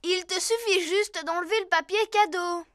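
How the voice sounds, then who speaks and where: A woman's voice: very high voice, steady tone, moderate pace, noisy background. A woman voicing an eager young animal child in a French cartoon dub, giving childlike instructions. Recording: music behind the voice.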